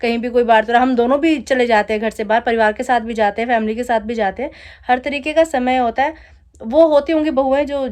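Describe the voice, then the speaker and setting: Woman vlogger, thirties, higher voice, chatty home vlog, playful and teasing. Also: darkish tone. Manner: chatty and laughing, teasing her husband. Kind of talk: casual vlog chat. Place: home at night, DJ music playing outside.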